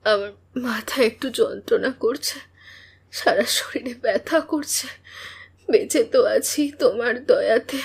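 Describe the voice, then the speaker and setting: A woman's voice: a little high, unhurried, gravelly, husky, full character voice. A woman playing an earnest, anxious young village sister in a cartoon drama, speaking in an emotional, pleading way. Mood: weak, grateful.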